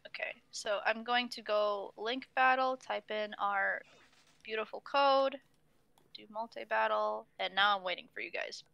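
A woman's voice: mid-range voice, unhurried, creaky, bright, forceful tone, clean tone, no room sound. A woman from Russia, speaking English as a while chatting and walking friends through a game on a stream. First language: not English. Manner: chatty, guides others through game menus. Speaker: stream host. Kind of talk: casual gaming stream chat.

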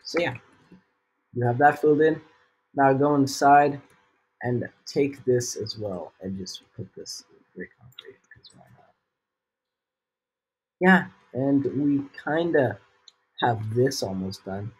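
A man's voice: medium pitch, slow pace, long pauses, husky, poor audio. A teenage boy voicing a casual building tutorial while sick, rambling with fillers and jokey asides. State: sick, voice a bit off. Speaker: gaming tutorial host.

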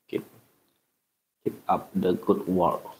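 Man, 30s, Indonesian accent, live-stream host: low-pitched, unhurried, halting simple English, phone mic at arm's length in a small home room. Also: flat delivery, a little mellow, low-quality recording. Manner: halting, simple English mixed with Indonesian, frequent short laughs. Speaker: livestream host caring for a baby monkey. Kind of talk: casual livestream chat reading viewer comments. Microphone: phone camera at arm's length.

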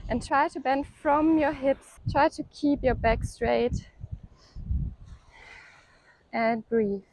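High-voiced yoga teacher lady, calm encouraging cues, super dry close sound. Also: slow, no echo, low-quality recording. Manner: calm, encouraging cueing of breath and poses. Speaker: yoga instructor. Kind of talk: guided workout instruction.

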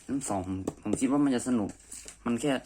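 A man's voice: mid-range voice, a little fast, mellow. A man chatting informally and unscripted as an amateur reviewer, thinking aloud in a room at home. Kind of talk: casual unscripted review chat. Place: messy room indoors. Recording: clearly noisy amateur home recording.

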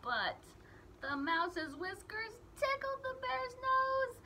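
A woman's voice: extremely high pitch, big pitch swings, slow, pushed and bright, slight background noise. A young woman reading a picture book aloud to small children, in simple phrases with emphasized key words. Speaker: children's read-aloud storyteller.

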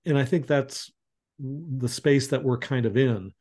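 Panelist, male, 50s, mellow tone, reflective, restarts often, webcam in a home study. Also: medium pitch, measured pace. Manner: reflective, searching for words, frequent restarts. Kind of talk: thoughtful discussion on a video call. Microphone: video call webcam.

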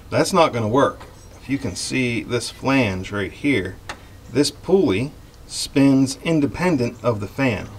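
A man's voice: on the low side, a little hiss or hum. A man in his forties hosting a car repair video, talking casually and plainly as he works on a truck in his garage. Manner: relaxed, plainspoken, explaining as he works. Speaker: car repair channel host. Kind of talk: casual DIY repair narration. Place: garage and driveway beside a truck.

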